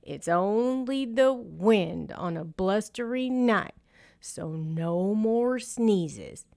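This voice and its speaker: Woman reading as a slow, bumbling storybook bear. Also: medium pitch, expressive, vocal fry, a little mellow, full character voice. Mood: resigned. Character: big male bear, bumbling, stubborn, worried. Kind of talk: children's picture book read-aloud.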